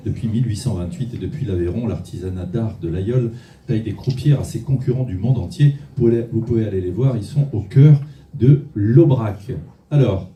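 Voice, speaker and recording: A man's voice: on the low side, a little fast, warm tone, slight background noise. A man hosting an awards ceremony on stage in a large hall, chatty and jokey, with loose phrasing and frequent restarts. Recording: echoey hall, mic at a distance, faint music.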